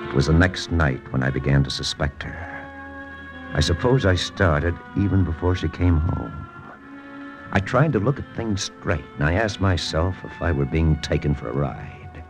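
A hard-boiled American lieutenant from a vintage radio drama. His voice is very low, with a terse, brooding delivery and a jealous edge. Music plays clearly behind him. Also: animated, moderate pace, poor audio. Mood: brooding narration. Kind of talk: 1940s-50s radio drama acting and first-person narration.

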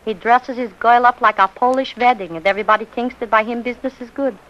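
An actress playing a shrewd, soothing middle-aged mama with a New York Yiddish-inflected accent and muddled folksy grammar, in 1940s radio comedy style. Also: female voice, fairly high pitch, steady tone, quick pace, fairly bright tone, close to an everyday voice. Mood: sly goading. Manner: malapropisms and inverted, folksy grammar. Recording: muffled vintage radio recording.

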